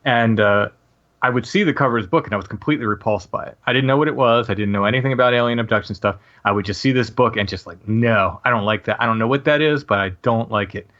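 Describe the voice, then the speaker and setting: A man in his late forties, a podcast guest, telling a personal story casually in a halting way with many restarts and fillers. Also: medium pitch, a bit bright, dull audio.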